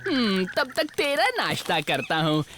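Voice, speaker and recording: A woman's voice: medium pitch, sing-song, measured pace, a bit bright, a little hiss or hum. A woman playing a sly, cowardly trickster jackal in a cartoon dub, with a scheming, whining manner. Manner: scheming, giggling, stammers and wails when threatened. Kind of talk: animated cartoon dubbing. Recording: music behind the voice.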